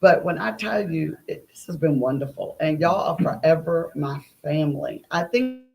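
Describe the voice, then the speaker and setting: A woman's voice: low voice, expressive, moderate pace, gravelly, a little mellow, low-quality recording. A middle-aged or older woman, a guest playwright and educator, telling stories conversationally. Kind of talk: conversational storytelling.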